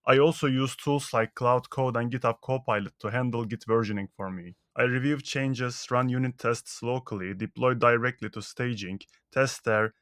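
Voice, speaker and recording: A man whose first language is Turkish walks through a scripted tutorial on his working methods. He moves from one tip to the next in a brisk, matter-of-fact voice. The mic is close and the sound is dry, with no room around it. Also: mid-range voice, fairly bright tone, dry recording. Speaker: software engineer.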